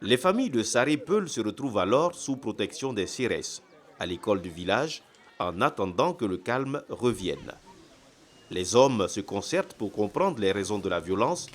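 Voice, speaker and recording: A man's voice: on the low side, very animated, normal speed. A man reads scripted documentary narration as a news reporter.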